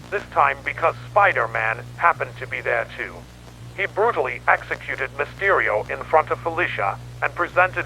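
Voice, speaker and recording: A man's voice: mid-range voice, pushed and bright. A man playing a grim newspaperman who records a horror investigation log in a dramatic, grave storytelling manner. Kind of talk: scripted analog horror narration. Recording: noisy radio-like tape with hum.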